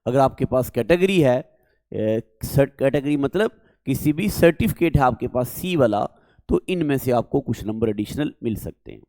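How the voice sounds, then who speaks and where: Male teacher, North Indian Hindi accent, sore throat, slow and careful, dry recording. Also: medium pitch, expressive, warm tone, no room sound. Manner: slow, deliberate, addresses listeners as dear children. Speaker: coaching institute teacher. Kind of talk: exam information lesson to students.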